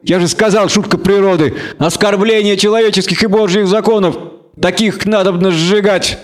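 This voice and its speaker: Man putting on a high-pitched, sneering half-elf. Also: steady tone, normal speed, lightly acted. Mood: hateful, sneering. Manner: derisive, smirking, insulting. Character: skinny, spiteful half-elf man, sneering gang member. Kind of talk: audiobook character acting.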